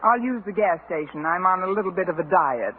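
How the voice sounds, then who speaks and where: An actress playing a wry, quick-witted schoolteacher with dry wisecracks in a 1950s radio sitcom. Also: female voice, fairly low pitch, expressive, close to an everyday voice. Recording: noisy 1950 radio broadcast, narrow band, audience.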